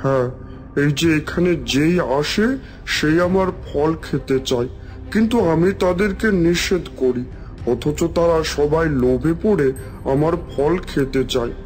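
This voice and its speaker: Man voicing a kindly captive magic tree, higher-pitched. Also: a little slow, soft background music, close to an everyday voice. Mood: reassuring. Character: captive talking fruit tree, kindly and helpful. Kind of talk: cartoon fairy tale acting.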